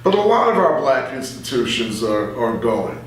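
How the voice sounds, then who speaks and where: An older man reflecting in a casual interview as a veteran radio guest, animated and repeating phrases for emphasis. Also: medium pitch, moderate pace, distant mic. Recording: very echoey room, off-mic, faint hum.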